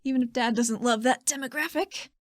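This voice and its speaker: A woman's voice: high voice, a little fast, dry recording, studio quality. A woman co-hosting a podcast as a player, chatting and improvising roleplay casually.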